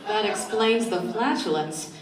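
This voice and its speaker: A woman's voice: mid-range voice, expressive, unhurried, natural voice. A woman playing a spirited, suspicious young sidekick, arch and inquisitive, in a comic 1940s-style radio play in a roomy hall. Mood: curious. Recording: roomy hall with faint noise.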